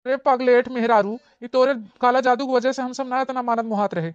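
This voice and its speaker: Woman acting a fast-talking, exasperated village husband. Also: on the high side, steady tone, clean tone, no echo, highs cut off, close to an everyday voice. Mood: scolding. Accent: Awadhi. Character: exasperated village husband, blunt but forgiving. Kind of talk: comedy cartoon acting.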